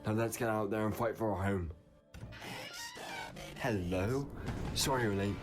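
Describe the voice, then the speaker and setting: A man's voice: fairly low pitch, a little slow, close to an everyday voice. A man playing a daring, quippy time-travelling hero in a sci-fi audio drama, wry and flippant. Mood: exhilarated. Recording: loud music under the voice.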